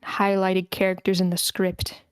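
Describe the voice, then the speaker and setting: A woman's voice: mid-range voice, monotone, measured pace, clear, resonant tone, dry recording, clean studio sound. A woman hosting an online group session, chatting casually as she organizes things. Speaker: host of an online script table read.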